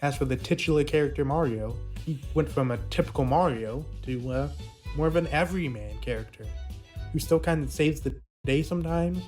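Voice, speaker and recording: A man's voice: fairly high pitch, brisk, a little mellow. A man narrating an informal video essay as commentary, with faint music behind his voice. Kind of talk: informal commentary on a puppet series.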